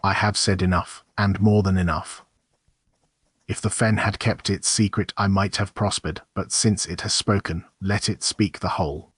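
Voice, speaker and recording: An actor with a British accent playing a bitter, resigned murderer making a grave, formal confession in an audio drama. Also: male voice, deep voice, fairly even intonation, normal speed, breathy edge, no echo, clean studio sound.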